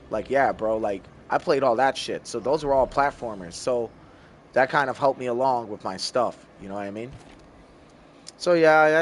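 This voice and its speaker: A man in his thirties streams a game and chats with his viewers in a loose, rambling way, calling everyone bro and swearing often. His voice sits at an ordinary middle pitch. A faint hiss runs under the recording. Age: 30s. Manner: loose and profane, talks to chat as bro. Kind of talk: casual live-stream chat.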